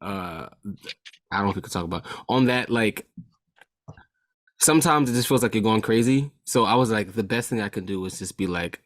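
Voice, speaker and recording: A man's voice: fairly low pitch, brisk, no room sound. A young man co-hosting a casual podcast, joking and swearing freely. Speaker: podcast co-host.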